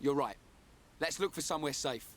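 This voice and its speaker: A man's voice: on the high side, brisk, a bit bright, natural voice. A man playing a brash, good-hearted young fighter in a video game. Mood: concerned.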